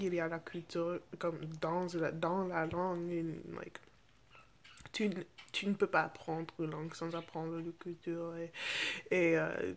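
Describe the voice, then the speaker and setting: A young American woman, a native English speaker, chatting casually to camera and haltingly searching for words in a second language. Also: lowish voice, vocal fry, a little hiss or hum. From United States. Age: teens to young adult. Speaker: vlogger. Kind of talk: casual unscripted chat to camera.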